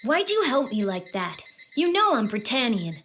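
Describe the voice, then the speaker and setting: A woman's voice: on the high side, very animated, normal speed, a bit bright, slight background noise. A woman playing a proud, bitter young exiled prince in an audio drama, cool, defiant and articulate. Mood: dry, bitter. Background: background music.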